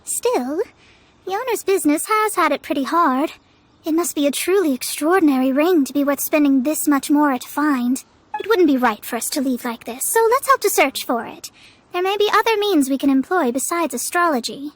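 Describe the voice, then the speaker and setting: A woman's voice: high voice, expressive, a little fast, no room sound. A woman voicing a proud young female astrologer in an anime game, formal and self-important. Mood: composed. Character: proud young female astrologer, self-styled master, haughty yet flustered. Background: game music.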